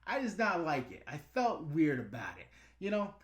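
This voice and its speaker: Lively high-voiced New York man. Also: normal speed. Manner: blunt, ranting, chatty with fillers. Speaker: YouTube host answering live chat. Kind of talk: casual livestream chat.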